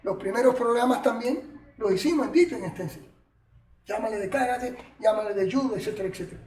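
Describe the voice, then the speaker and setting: Older Cuban man, very high-pitched, chatty storyteller; roomy, off-mic sound. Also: brisk. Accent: Cuban Spanish. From Cuba. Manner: animated, colloquial, chatty asides. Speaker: martial arts master, interview guest. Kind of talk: reminiscing storytelling. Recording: echoey room, distant mic, faint noise.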